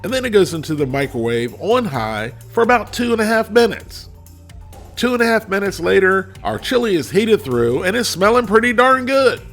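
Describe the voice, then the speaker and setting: A man hosting a casual food review video. He is congested and his voice is a little cracky, and he talks to viewers in a folksy, chatty way. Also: high-pitched. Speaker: food reviewer, channel host.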